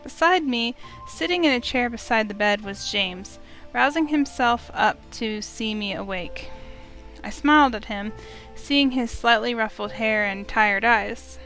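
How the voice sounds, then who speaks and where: A woman's voice: on the high side, measured pace, fairly bright tone, rough recording. A woman hosting a visual novel playthrough, talking and reading aloud for her viewers. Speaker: let's play host. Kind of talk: casual intro and read-aloud story narration. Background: game music.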